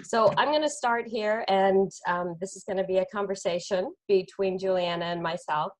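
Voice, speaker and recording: Woman, medium pitch, conversational interview moderator, explanatory delivery, informal online panel. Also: normal speed, a little mellow, rough recording. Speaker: interview moderator, counselor and lecturer.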